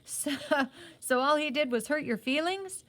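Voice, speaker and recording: A woman voicing an excitable teenage apprentice boy in a read-aloud novel, eager and exclaiming. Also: sits high, a little slow, pitched above the performer's own voice. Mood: amused, teasing.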